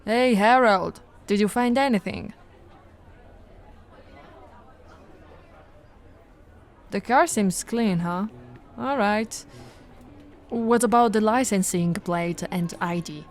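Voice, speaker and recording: A woman's voice: mid-range voice, a little slow, close to an everyday voice. A woman voicing a flirty but firm policewoman in an ASMR roleplay, with a teasing, coaxing, authoritative manner. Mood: brisk, in command. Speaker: voice actor in roleplay audio.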